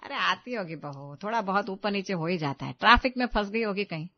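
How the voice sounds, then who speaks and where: A woman voicing a wry, exasperated but affectionate older mother-in-law in an animated family story, teasing and grumbling. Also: medium pitch, a little fast, lo-fi sound, close to an everyday voice. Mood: easygoing. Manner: teasing, dry-witted grumbling.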